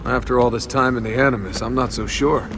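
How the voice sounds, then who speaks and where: An actor playing a joking young American adventurer in a video game, casual and sarcastic. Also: male voice, medium pitch, steady tone, fairly quick, noisy background, lightly acted. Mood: casual, teasing. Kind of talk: video game dialogue acting. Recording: game music behind the dialogue.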